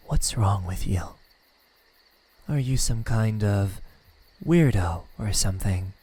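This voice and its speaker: A man playing a smug, teasing demon in an ASMR roleplay, taunting softly with drawn-out pauses and a breathy, husky voice. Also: lowish voice, heavily acted. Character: smug, teasing male demon toying with a captive. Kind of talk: ASMR roleplay, slow intimate villain acting.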